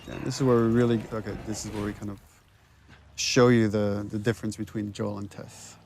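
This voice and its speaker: A man's voice: on the low side, little pitch movement, fairly quick, a little mellow, a little hiss or hum. A man speaking as a game director, giving unscripted, conversational commentary and analysing scenes as he thinks aloud.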